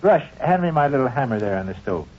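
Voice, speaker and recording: Casting: stubborn, wordy American dad and proud tinkerer, 1940s radio comedy, dull old recording. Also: male voice, medium pitch, big pitch swings, quick pace, darkish tone. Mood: eager, insistent. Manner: wordy, mock-formal phrasing. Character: fussy, stubborn middle-aged father, proud home tinkerer.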